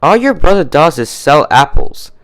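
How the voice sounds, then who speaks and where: A man's voice: fairly high pitch, big pitch swings, normal speed, fairly bright tone, pitched above the performer's own voice. A teasing female pony farmer from a cartoon story put on by a man. The voice sits raised and moves up and down a lot, argumentative and needling. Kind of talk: cartoon fan-fiction character dub.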